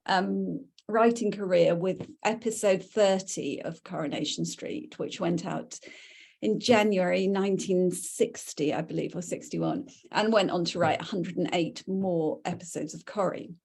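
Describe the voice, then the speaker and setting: A woman's voice: fairly low pitch, a little slow, vocal fry, a little mellow. A British woman giving an informal, reminiscing talk as a guest speaker, hesitant and often repeating words as she goes.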